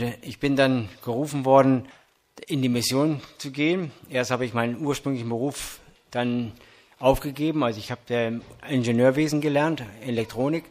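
An older man preaching in a church hall, his light Berlin accent showing through. He speaks in an earnest, measured way, pausing and hesitating often as he talks and reads from the Bible. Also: mid-range voice. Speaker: traveling preacher. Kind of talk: sermon with Bible reading.

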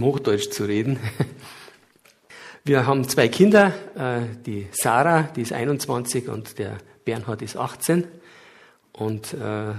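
A man's voice: mid-range voice, gravelly, slightly rough. A middle-aged man with a light Bavarian accent giving a public lecture, explaining earnestly with occasional hesitations. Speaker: guest lecturer.

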